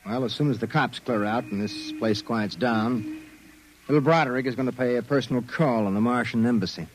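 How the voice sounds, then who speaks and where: An actor playing a tough, streetwise private investigator in a 1950s radio drama, giving clipped orders. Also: male voice, medium pitch, slight background noise, lightly acted, rough recording. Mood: grim. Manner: brisk, streetwise, clipped commands. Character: tough hard-boiled male private eye Broderick. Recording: old radio broadcast with music.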